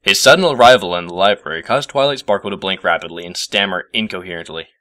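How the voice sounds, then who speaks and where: A man narrating a scripted story as a reader, in an expressive storytelling manner. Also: low-pitched, fast talker, no echo, clean studio sound. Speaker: fan fiction reader.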